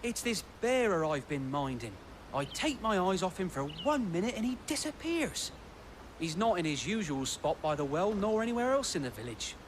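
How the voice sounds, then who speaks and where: An actor with a British accent playing a worried, plain-spoken young stable keeper in a video game. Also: male voice, high voice, sing-song, normal speed, noisy background. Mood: fretful. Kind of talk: video game cutscene acting.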